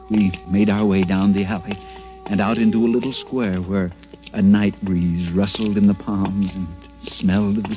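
Low-voiced, earnest radio adventurer played by a man. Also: close to an everyday voice. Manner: earnest, dramatic. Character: ordinary timid man turned smitten adventurer, earnest. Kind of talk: 1940s-50s radio drama acting and first-person narration. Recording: muffled vintage radio recording, music behind.

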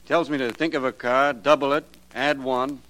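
A man's voice: mid-range voice, fairly even intonation, normal speed, a bit bright, natural voice. An actor with an American accent playing a timid, gullible, aggrieved gambling sucker who pleads his way through a 1950s radio crime drama. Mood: nervous, curious. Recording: noisy old radio recording with hum.

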